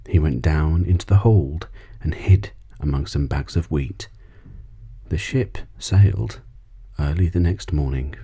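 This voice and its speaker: A man reading a children's story as soft ASMR bedtime narration. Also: rumbling low, slightly rough. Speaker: audiobook reader.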